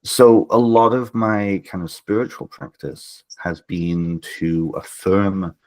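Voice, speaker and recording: A man's voice: deep voice, takes it slowly, a little mellow. A man from Wales with a Welsh accent, speaking earnestly in a reflective interview and often checking in with "right?". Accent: Welsh, with northern English and Canadian traces.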